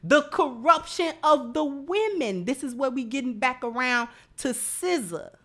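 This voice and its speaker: A woman's voice: high voice, animated, measured pace, fairly bright tone. A woman giving opinionated live commentary as a host, emphatic, repeating phrases and posing rhetorical questions. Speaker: livestream host.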